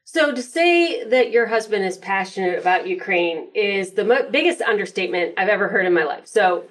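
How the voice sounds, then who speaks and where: Female podcast host, medium-pitched, conversational, recorded a little off the mic. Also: animated, moderate pace, recorded from a distance. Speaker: professional organizer and motivational speaker.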